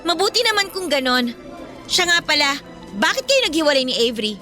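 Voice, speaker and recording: Bright, high-voiced, defiant young Filipina girlfriend, acted by a woman. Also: very animated, brisk, heavily acted. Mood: probing. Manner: assertive, emphatic. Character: defiant young girlfriend, outspoken and loyal to her friend. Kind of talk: radio-drama dialogue acting. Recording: music bed behind the voice.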